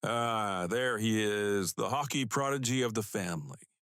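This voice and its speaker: A man's voice: fairly low pitch, unhurried, fairly bright tone, clean tone, no room sound. Older male ex-hockey player, played by an actor, hearty bluster, audiobook drama, dry sound. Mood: hearty, needling. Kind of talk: full-cast audiobook acting.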